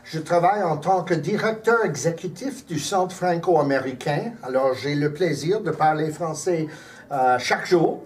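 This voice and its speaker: A man speaks in an articulate, measured way, with a voice slightly higher than most men's. He sounds a little distant from the microphone. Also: lively intonation, a little hiss or hum. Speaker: executive director of a cultural center. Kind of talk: oral history interview. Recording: distant mic.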